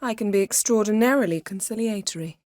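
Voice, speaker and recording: A woman playing a haughty, sharp-tongued sorceress in a video game, speaking formally and imperiously with cutting poise. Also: medium pitch, fast talker, no room sound, clean studio sound, lightly acted. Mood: wry, haughty. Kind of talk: video game character acting.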